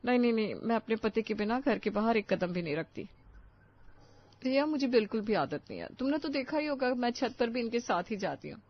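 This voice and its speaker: A woman's voice: a little high, expressive, fairly quick, dull audio. A woman voicing a prim, self-satisfied married neighbour in a family story, speaking in a coy, demure way. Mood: coy, reluctant.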